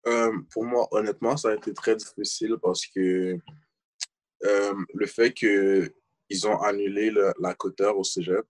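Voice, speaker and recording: A young man with a Québécois French accent speaks low and flat, plain and a little hesitant. The sound is dry and close. He is a student guest joining on a video call. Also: unhurried, no echo. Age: young adult. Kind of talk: casual interview over video call.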